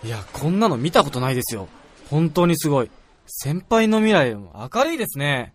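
Excitable junior researcher played by a man, high voice, very animated, earnest, gullible, radio drama. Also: moderate pace. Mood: admiring.

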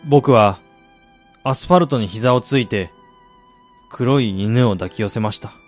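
An actor playing a weary, reflective middle-aged man in a radio drama, recounting his losses in a measured, confessional way. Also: mid-range voice, soft background music, lightly acted. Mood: sorrowful, resolute. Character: weary man who lost family, confessional, brooding. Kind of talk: Japanese radio drama acting.

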